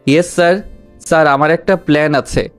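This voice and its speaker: A man's voice: a little high, fairly even intonation, brisk, clean tone, soft background music, lightly acted. A man playing a composed, capable senior security officer in an audio story. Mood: confident planning.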